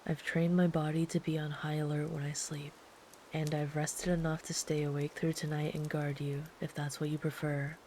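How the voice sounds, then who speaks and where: A woman voicing a big, gentle, protective traveler camping in the woods, speaking softly and soothingly, sometimes in a whisper, as ASMR comfort roleplay. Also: sits low, moderate pace, a little hiss or hum, natural voice. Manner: soft, soothing, calm, at times whispered.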